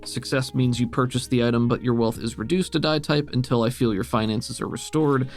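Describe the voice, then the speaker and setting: A man runs a tabletop role-playing game as its game master, in a conversational way. His voice sits at a middle pitch. Plucked guitar music plays behind him. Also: fairly even intonation, brisk. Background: plucked guitar background music.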